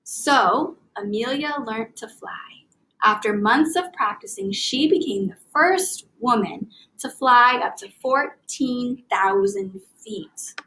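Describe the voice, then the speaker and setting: High-voiced woman teacher addressing young children. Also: slight background noise, rough recording. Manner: expressive, deliberate enunciation, child-directed. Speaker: elementary reading teacher. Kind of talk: instructional read-aloud for young children.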